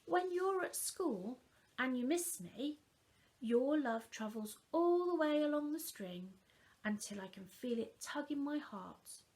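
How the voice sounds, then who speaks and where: A woman reading the part of a calm, loving mother who gently and patiently reassures her young children in a storybook. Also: high-pitched, slow, full character voice, poor audio. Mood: soothing.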